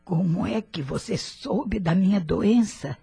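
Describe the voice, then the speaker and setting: A creaky, ailing old mother. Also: mid-range voice, takes it slowly, soft background music, exaggerated voice. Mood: tender. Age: older. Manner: soft, imploring. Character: ailing elderly mother, gentle and pleading. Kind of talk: radio drama acting.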